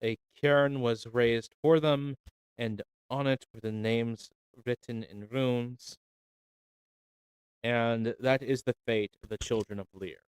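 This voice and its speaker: A man cold reading folk tales aloud on a stream, unhurried and measured, his voice feeling a little rough after an hour. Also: mid-range voice, fairly even intonation, dry recording, poor audio. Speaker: reader.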